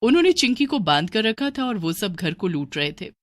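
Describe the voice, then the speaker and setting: A woman's voice: mid-range voice, sing-song, normal speed, dry recording, clean studio sound. A woman narrating a scripted horror story for a cartoon, in a storyteller's style.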